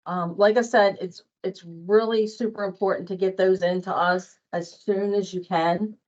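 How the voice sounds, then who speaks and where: A woman's voice: mid-range voice, fairly even intonation, moderate pace, a little mellow. A woman presenting informally at a workplace training session. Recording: dull lo-fi meeting audio.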